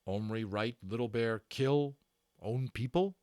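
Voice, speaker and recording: A man's voice: medium pitch, a little slow, darkish tone, no room sound, clean studio sound, close to an everyday voice. A man voicing a proud, stern warrior chief for a storybook reading, in clipped broken grammar with weighty, declarative phrases. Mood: questioning. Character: proud, stern male warrior chief, bitter yet honorable. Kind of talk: dramatic storybook character reading.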